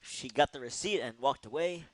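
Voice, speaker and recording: A man co-hosting a relaxed, conversational recap of episodes. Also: medium pitch, moderate pace, no echo. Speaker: co-host reviewing cartoon episodes. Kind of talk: casual chat recapping episodes.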